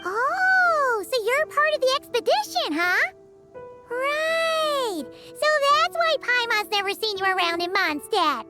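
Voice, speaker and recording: A squeaky cheeky fairy companion. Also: female voice, sing-song, slow, heavily acted. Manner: chirpy, refers to herself by name. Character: tiny floating female companion, brash and cheeky. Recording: game audio with background music.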